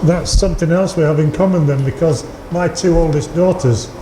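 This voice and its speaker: A man's voice: fairly high pitch, fairly quick. A middle-aged or older man, hosting a casual radio interview in a genial, jokey way. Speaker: community radio host, interviewer. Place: live radio theatre with audience. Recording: echoey room, faint background noise.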